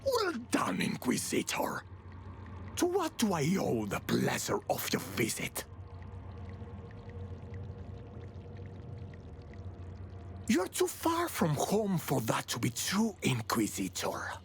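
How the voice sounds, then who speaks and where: A bored, haughty immortal from a video game, drawls with mocking condescension. The voice is slow and creaky, swooping dramatically up and down. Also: medium pitch, wildly swooping pitch, faint music behind, exaggerated voice. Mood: mocking amusement. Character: bored ageless immortal, sardonic, haughty and theatrical. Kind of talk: video game villain acting.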